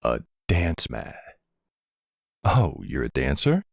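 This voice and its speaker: Guy doing a smooth talking older mafia boss, super low husky voice, slow and teasing, asmr roleplay. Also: wildly swooping pitch, no room sound, studio quality, exaggerated voice. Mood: teasing, flirtatious.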